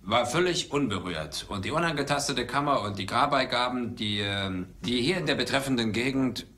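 An actor playing a driven, wry archaeology professor and adventurer in a dubbed film, dry and casual with hesitations. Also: male voice, mid-range voice, expressive, moderate pace, bright, forceful tone. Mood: distracted, lecturing. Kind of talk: German film dubbing.

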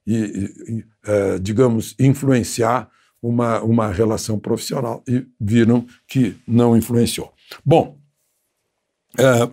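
An older man with a gravelly, slightly husky, mellow voice comments from a studio chair. He talks loosely and conversationally, pausing on fillers and tag questions. The sound is close and clean from a lapel mic. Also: medium pitch. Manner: seated, gesturing with both hands. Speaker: political commentator. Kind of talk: unscripted spoken commentary. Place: small studio with graphic backdrop.

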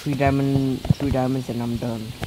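A man's voice: medium pitch, little pitch movement, normal speed, very noisy. A fourteen-year-old boy chatting through mobile game commentary, his voice weak from a recent illness, rambling with many restarts. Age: teens, fourteen. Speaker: gameplay commentator. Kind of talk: casual let's-play commentary.

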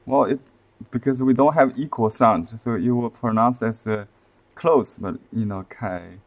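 A man in his fifties with a Chinese accent, explaining calligraphy on a live stream from a desk webcam, softly and with many fillers and restarts. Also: medium pitch, measured pace. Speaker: calligraphy and seal-carving teacher. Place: indoor room with shelves, at a desk.

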